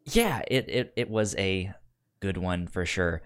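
A man co-hosting a podcast in casual conversation, speaking haltingly and often repeating or tripping over words. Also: low voice, animated, clean tone. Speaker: podcast co-host. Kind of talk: casual chat.

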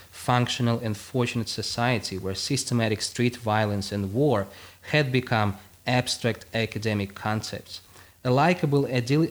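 A man whose first language is Russian, a guest philosopher explaining his ideas with many fillers and restarts, and reading his own passage aloud. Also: medium pitch.

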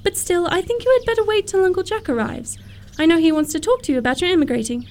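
A woman's voice: very high voice, animated, fast talker, a little mellow. A woman playing a prim, candid young girl of good family, earnest and politely teasing, in a theatrical radio comedy.

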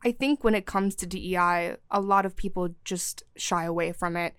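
A young woman from Syria speaks in an earnest, fluent way, often leaning on "you know." Her voice is smooth and clear, a touch lower than most, recorded close and dry with no room sound. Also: normal speed, dry recording. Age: young adult. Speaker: guest, news desk assistant and DEI chair. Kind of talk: podcast interview answers.

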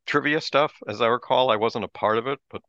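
A man co-hosting a podcast, chatting casually in a relaxed, explanatory way, on a dull lo-fi mic. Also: medium pitch, fairly quick, no room sound. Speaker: podcast co-host.

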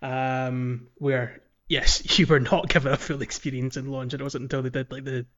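A man's voice: mid-range voice, flat delivery, normal speed, a bit bright. Muffled man chatting about games. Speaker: podcast co-host. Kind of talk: casual gaming podcast chat.

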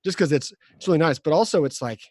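A man hosting a podcast, chatting casually in a halting, informal way. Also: on the high side, darkish tone, no echo, studio quality. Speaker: podcast host. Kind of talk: casual chat.

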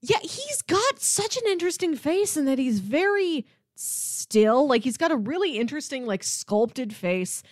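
A woman with a slightly high, very animated voice co-hosts a casual film discussion. Her pitch moves around freely as she playfully acts out imagined lines. The recording is dry and close, with little room sound. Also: unhurried, no echo. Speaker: podcast co-host.

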